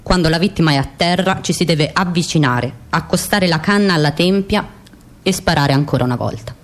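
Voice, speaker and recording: A woman's voice: low voice, a little fast, natural voice. A woman played by an actress plays a cold squad operative. She recites in a precise, matter-of-fact radio-drama manner, as methodical as a manual. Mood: cold, instructive. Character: cold, methodical female agent of a killing squad.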